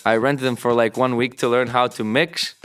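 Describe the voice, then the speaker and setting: A man from Canada and Greece giving casual interview answers as a DJ, often halting to search for words. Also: mid-range voice, steady tone, fairly bright tone, clear, resonant tone. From born in Canada, moved to Greece at thirteen. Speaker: DJ and music producer. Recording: music playing underneath. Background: music.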